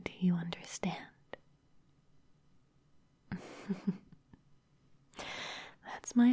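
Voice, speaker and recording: A predatory female vampire boss teases in a hushed, breathy whisper, played by a woman. Her voice is dark and soft. She speaks slowly and with control, drawing out each line. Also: lowish voice, full character voice. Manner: whispered throughout. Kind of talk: ASMR roleplay.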